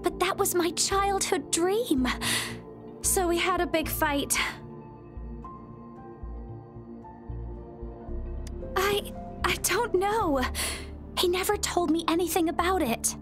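A woman voicing an anxious, soft-spoken young woman in an anime video game, with a hesitant, fretful delivery. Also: very high-pitched, unhurried, over music. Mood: sad, hesitant.

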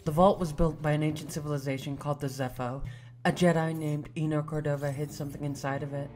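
A woman's voice: low-pitched, steady tone, fast, dark tone, faint music behind, close to an everyday voice. A woman voicing a guarded, earnest young Jedi in a video game cutscene, subdued in manner. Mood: matter-of-fact.

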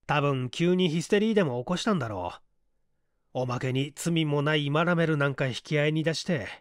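A man's voice: a little high, fairly quick, no room sound, clean studio sound. A man reading a story aloud as scripted audiobook narration. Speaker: audiobook reader.

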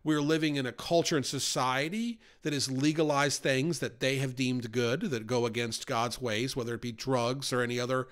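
A man teaching scripture in a relaxed, off-the-cuff way, as if on a podcast. His voice sits at an ordinary middle pitch and climbs when he stresses a point. He stays earnest throughout. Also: moderate pace, few pauses. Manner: earnest and conversational, rises in pitch for emphasis. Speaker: Bible study host. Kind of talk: informal Bible teaching.

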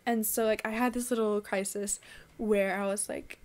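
A woman's voice: mid-range voice, steady tone, moderate pace, darkish tone. A young woman from Texas talking casually to a webcam in her bedroom, rambling with many fillers and restarts. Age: young adult. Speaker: vlogger. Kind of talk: casual monologue to camera. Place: small bedroom indoors.

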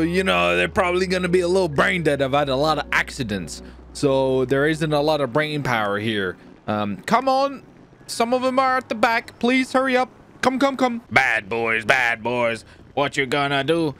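A man hosting a gaming video with excitable, theatrical commentary and playful roleplay, often repeating his phrases. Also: on the high side, a little hiss or hum.

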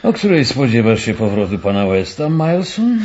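A man acting a brusque, self-assured police inspector in a radio play, commanding and condescending, with drawn-out emphasis. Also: mid-range voice, very animated, a little mellow, muffled recording.

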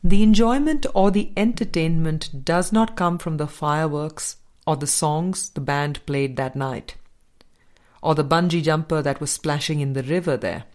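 A woman narrating an audiobook, reading from a script in a measured manner. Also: deep voice, darkish tone.

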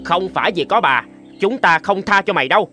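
A woman's voice: lowish voice, animated, a little fast, sharp tone. A woman playing a resentful young stray cat in a cartoon, with a threatening, sneering manner. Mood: grudging. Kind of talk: animated fairy-tale dubbing. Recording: music playing behind the voice.

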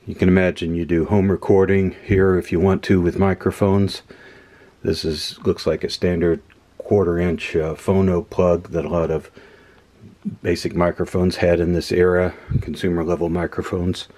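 A man casually demonstrating old equipment at a workbench, unhurried and thinking aloud with many fillers. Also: deep voice, level tone, mellow. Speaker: electronics hobbyist host. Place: workbench room indoors.

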